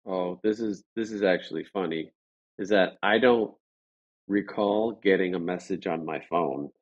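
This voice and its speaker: A man with an American accent and a fairly low voice talks as a guest in a casual interview. He rambles and stops and starts as he tells his story. The audio is somewhat dull and lo-fi. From Chicago, United States. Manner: halting, rambling, frequent "like" and "you know".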